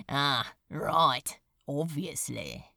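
A woman acting flustered man, hesitant, wry, casual drawl, lower pitched, dry close recording, storybook character. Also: big pitch swings, extremely slow, gravelly, bright, forceful tone, no echo, heavily acted.

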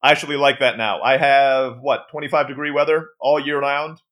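A quick-talking man with an American-sounding accent, though his first language is Turkish. He chats freely as a podcast guest and laughs often. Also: medium pitch, steady tone, a bit bright. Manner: chatty, laughs easily. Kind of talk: casual interview chat.

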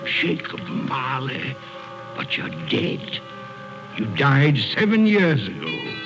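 An actor playing a crotchety, skeptical old male miser in an old radio drama, theatrical and halting, blustering and easily frightened. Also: medium pitch, sing-song. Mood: scornful disbelief. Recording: dull old radio recording with music.

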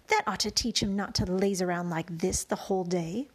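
Woman reading a greedy fat aunt, plummy, gossipy, breathy voice. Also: mid-range voice, moderate pace, voice pushed down, natural voice. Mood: scheming. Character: greedy fat pulpy middle-aged aunt.

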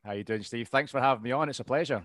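A man's voice: mid-range voice, very fast, a bit bright, dry recording. A man in his thirties with a Scottish accent, a veteran telling his life story as a guest in a casual interview, rambling with ums and restarts. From Scotland. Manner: rambling, lots of ums and restarts, laughs easily. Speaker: podcast guest, RAF veteran.

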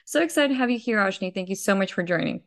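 A woman's voice: mid-range voice, expressive, quick pace. A woman hosting an interview podcast, asking questions in a friendly, upbeat way. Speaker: podcast host.

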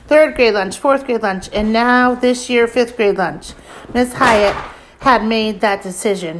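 A woman reading a children's book aloud as scripted narration, leaning deliberately on key words and lists. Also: mid-range voice, slight background noise, rough recording.

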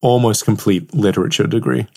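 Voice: medium pitch, a little fast, creaky, a little mellow, breathy edge. A podcast host in casual chat and poetry reading, talking in a wry, rambling, mock-earnest way.

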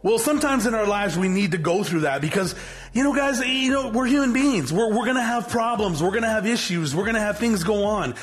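A man's voice: high-pitched, fairly quick. A man in his forties giving an unscripted motivational talk to his viewers. He talks in a blunt, fiery way, swears freely and often restarts his sentences. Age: 40s. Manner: rambling, repetitive, frequent swearing and restarts. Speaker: motivational vlogger, business owner.

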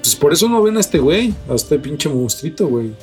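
A man's voice: a little high, very animated, a little fast, darkish tone, faint music behind. A man with a Mexican accent co-hosting a paranormal news show, mixing read-out items with slangy, mildly profane, speculative commentary. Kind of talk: casual news chat, part reading and part commentary.

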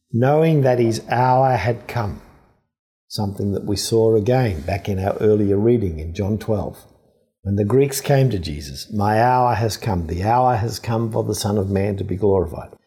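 Low, mellow man giving a scripture reflection. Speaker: priest and scripture scholar.

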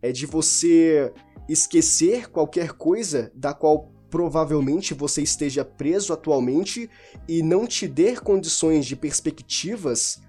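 Man, slightly high mellow voice, loose rambling book talk, faint music behind. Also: unhurried, slightly rough. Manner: informal, rambling with restarts and self-corrections. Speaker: book reviewer. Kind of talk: casual spoken book discussion.

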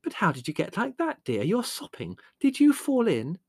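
Man putting on a fretful, high-pitched mother. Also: huge pitch swings, moderate pace, husky, no echo, studio quality, exaggerated voice. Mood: horrified concern. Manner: fretful, solicitous questioning. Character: fussing, concerned, genteel middle-aged mother. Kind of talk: audiobook character voice.

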